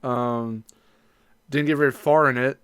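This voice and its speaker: A man chats casually as a podcast co-host at a middling pitch. He feels his way through his thoughts, rephrasing as he goes. The sound is dry, with very little room echo. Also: fairly even intonation, a little slow, fairly bright tone, clear, resonant tone.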